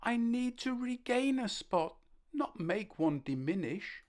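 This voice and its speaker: A woman's voice: on the high side, sing-song, takes it slowly, darkish tone. A woman voicing a timid, anxious young female ladybird in a storybook, earnest and close to tears. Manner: sobbing, earnest, self-conscious. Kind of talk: storybook character voice.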